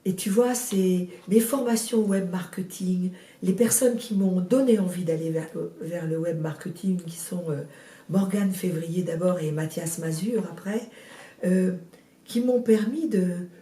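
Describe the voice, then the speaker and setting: A woman in her sixties with a cold, chatting in an interview about her first novel, hesitant with many fillers and restarts but lively in bursts. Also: lowish voice, a little slow, echoey room, far from the mic. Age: 60s. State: has a cold. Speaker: guest, novelist. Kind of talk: casual interview chat.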